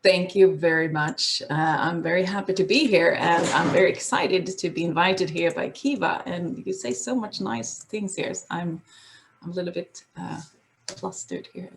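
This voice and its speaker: A woman from Sweden with a Swedish accent, presenting on a video call in a casual, halting way, with many fillers and restarts. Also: fairly low pitch, creaky, a little hiss or hum. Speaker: guest presenter. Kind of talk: casual online talk with slides.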